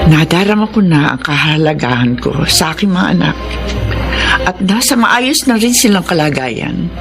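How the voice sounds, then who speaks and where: An actress playing a tender, emotional middle-aged mother in a Filipino radio drama. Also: lowish voice. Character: tender, emotional mother of grown children, grateful, longing. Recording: music behind the voice.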